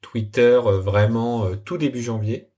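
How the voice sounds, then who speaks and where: A man from France, a software developer, answering interview questions casually with a halting, relaxed manner and many fillers. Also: medium pitch.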